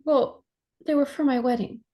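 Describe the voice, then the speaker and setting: A woman acting a polite, bewildered runaway bride in a staged play reading, with a hesitant, halting way of talking. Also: high-pitched, fairly even intonation, dark tone, lightly acted. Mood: dismayed, polite.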